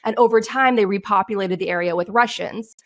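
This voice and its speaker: A woman's voice: mid-range voice, a little fast. A woman guest on a podcast, telling a story in a passionate, outspoken way. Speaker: guest, screenwriter. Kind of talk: interview answers.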